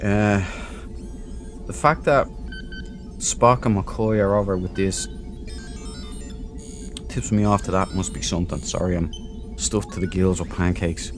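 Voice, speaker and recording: Casting: male let's play host, low voice, informal, jokey, thinks aloud, light background music. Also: unhurried, long pauses. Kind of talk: casual game commentary.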